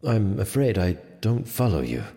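An actor playing a stern, dutiful medieval sheriff in an audio drama, with a formal, firm manner. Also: male voice, fairly low pitch, very animated, normal speed, warm tone. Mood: guarded, firm. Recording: echoey hall reverb with music.

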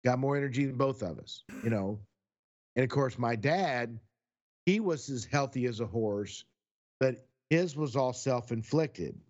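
A man's voice: medium pitch, animated, measured pace, darkish tone, muffled recording. A man in his sixties chatting casually on a podcast, rambling through stories. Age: 60s. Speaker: podcast co-host.

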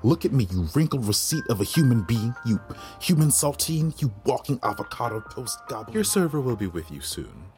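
A man's voice: mid-range voice, big pitch swings, brisk, few pauses, darkish tone, faint music behind, lightly acted. A man playing a weary, resentful waiter who narrates an audio drama in a dry, sardonic inner monologue. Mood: bitter, fed up. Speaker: lead actor and narrator.

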